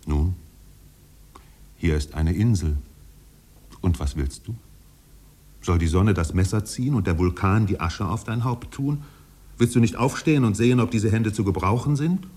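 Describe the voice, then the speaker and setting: Deep, dark-voiced solemn narrator. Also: male voice, husky. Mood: solemn, urgent. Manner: measured, poetic, solemn delivery with drawn-out phrases. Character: melancholy male narrator, lyrical and solemn, recalling islands. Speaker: narrator of a radio play. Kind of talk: 1950s German literary radio drama narration. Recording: 1950s radio studio production.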